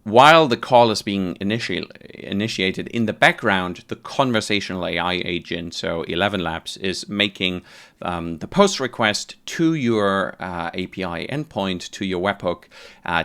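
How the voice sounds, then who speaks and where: A man with a low, smooth voice walks through a software tutorial. He keeps it informal and conversational, explaining each step as a presenter would to fellow developers. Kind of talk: casual software tutorial narration.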